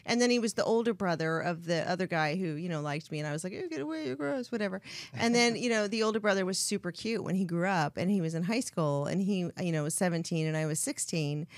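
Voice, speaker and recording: A woman's voice: on the low side, fast talker, no echo. A woman hosting a casual talk show, rambling and giggling, with a strong voice and a constant "you know". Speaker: host. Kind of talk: casual chat.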